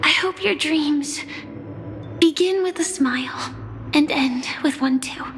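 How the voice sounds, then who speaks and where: A woman voicing a shy, gentle young woman in a game cutscene, soft-spoken and apologetic, at times nearly whispering. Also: high voice, slow, slight background noise. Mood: tender. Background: game soundtrack music.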